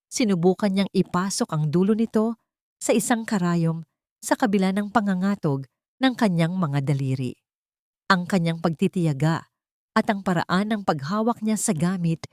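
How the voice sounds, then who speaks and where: A woman reads a dramatic story as its narrator. Her voice sits a little low, and the sound is dry with no room around it. She delivers each phrase in a measured, solemn way. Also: a little mellow, no room sound.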